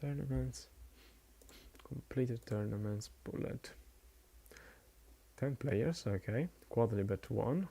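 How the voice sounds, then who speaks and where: Quiet, slow-spoken man with a gravelly voice. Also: mid-range voice. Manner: hesitant, many fillers and restarts. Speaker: streamer and commentator. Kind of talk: casual live-stream chat.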